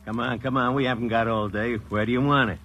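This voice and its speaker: A man's voice: medium pitch, steady tone, fast, dull audio, natural voice. An actor playing a brusque, impatient, wisecracking working-class moving man in a mid-century radio drama. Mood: impatient, sarcastic. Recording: vintage radio recording.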